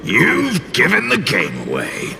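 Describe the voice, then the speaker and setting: A scornful little game demon. The voice is creaky and leaps dramatically up and down, brash and theatrical. Noticeable background noise runs underneath. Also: male voice, a little high, huge pitch swings, slow, exaggerated voice. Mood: sneering. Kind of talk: video game voice acting.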